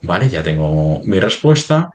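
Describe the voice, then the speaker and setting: A man with an extremely deep voice presents a live webinar demonstration. He talks haltingly, thinking aloud as he works through each step on screen. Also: big pitch swings, a little mellow. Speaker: software demo presenter.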